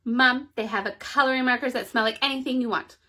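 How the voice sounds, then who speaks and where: A woman's voice: sits high, quick pace, bright, forceful tone. A woman voicing a pushy young girl who keeps nagging her mother, in a whiny, insistent way. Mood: pleading.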